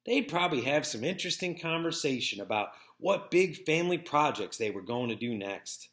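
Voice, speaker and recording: A man reading a first-person story aloud as narrator, with an expressive, folksy storytelling manner. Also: a little high, normal speed. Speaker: read-aloud narrator, teacher. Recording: echoey, roomy sound.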